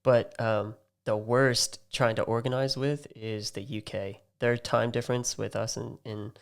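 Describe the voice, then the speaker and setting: An Australian man with a mid-range voice and a strongly gravelly edge, hosting a podcast interview. He talks in a friendly, loose way, with lots of fillers. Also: medium pitch, fairly even intonation, measured pace. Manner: friendly, laughs easily, many fillers. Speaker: podcast host. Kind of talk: casual interview chat.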